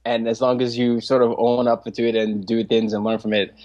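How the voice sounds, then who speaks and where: A man in his twenties, a podcast guest, talking in an animated way, laughing often and restarting his sentences. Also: medium pitch, brisk, highs cut off. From Nigeria. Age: 20s. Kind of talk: casual interview chat.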